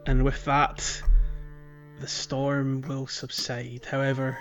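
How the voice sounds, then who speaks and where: A man's voice: medium pitch, monotone, slow pace, fairly bright tone. A man hosting a casual gaming podcast, talking hesitantly and thinking aloud as he goes. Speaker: podcast host. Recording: music playing behind the voice.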